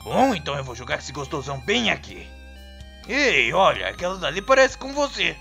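Irritable male cartoon cat creature, acted, Brazilian accent: sharp, gravelly, foul-mouthed bully. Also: medium pitch, very animated, moderate pace, soft background music, heavily acted. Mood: bored, grumpy. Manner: brash, foul-mouthed, mocking. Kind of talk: cartoon fandub acting.

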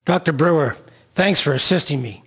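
A man plays an army eye surgeon in a radio drama. His voice is husky and a little high. He is businesslike and decisive. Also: animated, normal speed, fairly bright tone. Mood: serious, decisive.

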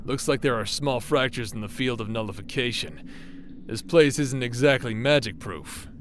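A man's voice: medium pitch, expressive, moderate pace, slight background noise, natural voice. A man playing a casual, mocking figment of someone's thoughts in an audio drama, blunt and sardonic. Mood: cool, observing. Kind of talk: audio roleplay drama acting.

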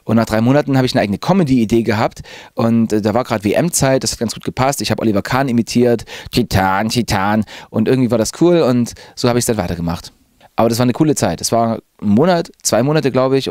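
A man's voice: lowish voice, a little fast, no room sound. Chatty male radio presenter in studio. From Zwickau, Saxony, Germany. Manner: lively, chatty, enthusiastic. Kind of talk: casual personal account to camera. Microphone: handheld reporter mic.

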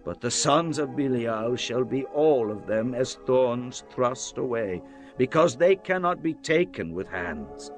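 An actor playing an aging, devout biblical king in dramatized scripture, speaking in a deliberate, declamatory way. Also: mid-range voice, lively intonation, soft background music, natural voice. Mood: solemn, exalted.

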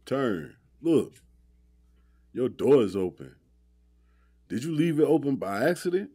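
An actor playing a laid-back, protective young man in an audio drama, talking in slang with drawn-out, incredulous repeats. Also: mid-range voice, big pitch swings, dark tone, slightly rough, dry recording, clean studio sound, heavily acted. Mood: concerned.

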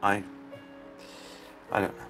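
A man's voice: takes it slowly, soft background music. Man playing a hesitant, grieving widower. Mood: flustered. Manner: hesitant, stammering, trailing off quietly. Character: grieving middle-aged man who lost his male partner. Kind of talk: radio play acting.

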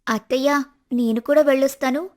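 A woman voicing a polite but self-willed young daughter-in-law in an animated family story, pleading and insistent. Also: high-pitched, fairly quick, pitched above the performer's own voice, lightly acted. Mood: politely insistent. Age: young adult.

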